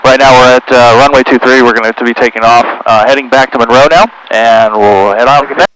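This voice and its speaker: A man's voice: mid-range voice, fairly even intonation, fairly quick, fairly bright tone, slight background noise, low-quality recording. A middle-aged man, a pilot, chatting casually to his viewers from a small plane on a headset. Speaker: pilot and vlogger. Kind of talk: casual talk to camera.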